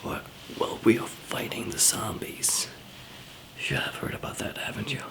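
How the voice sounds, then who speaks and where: A man playing a calm, easygoing survival trainer in an ASMR zombie apocalypse roleplay, whispering softly and hesitantly with a gravelly, breathy voice. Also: fairly low pitch. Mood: quietly instructive. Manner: hesitant, halting, soft-spoken. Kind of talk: ASMR roleplay, improvised monologue to listener.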